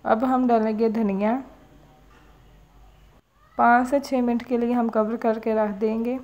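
Woman talking thru a recipe, mellow voice, pretty flat, kinda high. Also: measured pace, lo-fi sound. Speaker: home cooking channel host. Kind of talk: step-by-step recipe voice-over.

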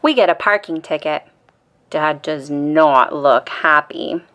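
Slow, bright-voiced woman reading a storybook. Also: low-pitched, dull audio. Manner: deliberate, acts out quoted lines in a child-directed way. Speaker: storyteller reading a children's picture book. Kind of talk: expressive bedtime read-aloud.

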